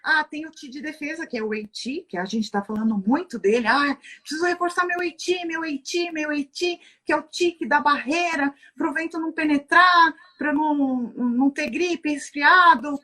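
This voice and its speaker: Brazilian lady explaining stuff like a teacher on a live stream, pretty high voice, keeps asking rhetorical questions. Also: normal speed, few pauses, sharp tone. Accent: Brazilian Portuguese. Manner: teacherly, asks herself rhetorical questions, tags sentences with "né?". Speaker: guest lecturer on Chinese medicine. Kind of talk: explanatory talk from a livestream.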